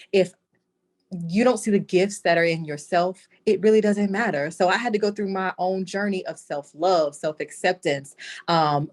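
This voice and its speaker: A woman with a slightly low voice shares a personal story in conversation. She speaks as an author being interviewed, earnest and reflective.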